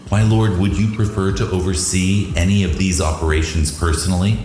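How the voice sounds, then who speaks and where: A man plays a deferential alien aide in a science fiction audio drama. The extremely deep voice is formal and obsequious, set in echo over clear background music. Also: fairly even intonation, a little fast, a little mellow, natural voice. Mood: deferential, calculating. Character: deferential, scheming male lieutenant to an alien overlord.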